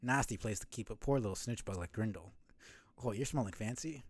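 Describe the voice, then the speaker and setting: A man's voice: fairly low pitch, a little fast. A man voicing a small, greedy, cheeky thieving bug from a video game, with a wheedling rogue's patter. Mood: sly, wheedling.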